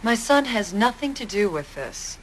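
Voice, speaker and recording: A woman's voice: medium pitch, sing-song, moderate pace, a little hiss or hum, natural voice, rough recording. An actress playing a guarded, protesting wife and mother being questioned by police in a crime audio drama. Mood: defensive.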